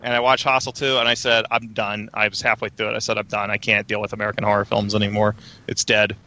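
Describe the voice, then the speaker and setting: A man with a low, very bright and sharp voice talks casually about films. His delivery is relaxed and conversational, with frequent fillers. It sounds like an easygoing podcast chat. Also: fast talker, highs cut off. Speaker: podcast co-host. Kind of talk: casual film discussion.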